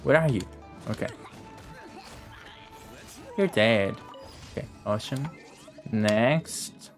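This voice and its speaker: A man's voice: lowish voice, slow, faint music behind. A man giving casual, offhand live game commentary, swearing and muttering to himself as he plays. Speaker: game streamer.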